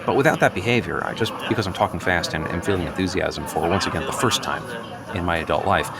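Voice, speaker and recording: A man's voice: sits low, quick pace, creaky, over a noisy crowd. A man improvising a radio-play role as a manic, defensive office worker who philosophizes in earnest, hesitant rambles. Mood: agitated, philosophizing.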